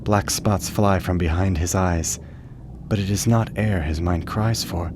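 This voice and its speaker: A man narrating a scripted radio play in a measured, literary storytelling manner. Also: deep voice, a little mellow, slight background noise.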